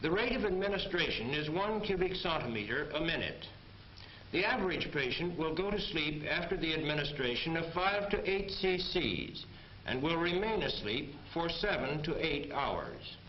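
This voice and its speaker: A man's voice: a little high, big pitch swings, moderate pace. A man playing an army major who gives a precise, formal medical lecture in a 1940s training film. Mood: formal, instructive. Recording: muffled, echoey, noisy 1940s film soundtrack.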